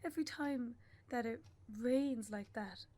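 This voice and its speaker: Radio play: actress as bereaved young Irish mother, voice on the high side, halting, stubborn, raw with grief, naturalistic. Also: dark tone, lightly acted. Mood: sorrowful reminiscing. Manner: halting, with pauses and repeated phrases.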